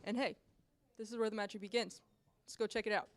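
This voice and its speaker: A man's voice: sits high, fairly even intonation, fast. A young man hosting a student TV segment, asking questions in a playful, upbeat way. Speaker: student TV host. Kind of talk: comedic campus TV segment.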